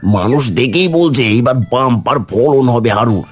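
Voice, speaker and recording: A man's voice: medium pitch, animated, moderate pace, faint music behind, natural voice. A man voicing a scheming, envious henchman who is servile to his boss in a village cartoon. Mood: sly, conspiratorial. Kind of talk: cartoon story dialogue.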